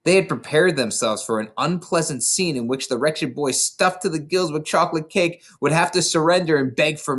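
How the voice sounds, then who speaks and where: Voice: medium pitch, fairly bright tone. A reader narrating a children's novel aloud expressively, occasionally stumbling over words. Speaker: storybook reader.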